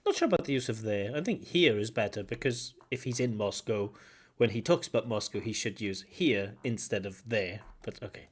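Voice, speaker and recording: A man from Wales, an English examiner, giving feedback on a speaking test and explaining points with examples and gentle corrections. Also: on the low side, lively intonation, moderate pace, breathy edge. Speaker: IELTS examiner and teacher. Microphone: video call.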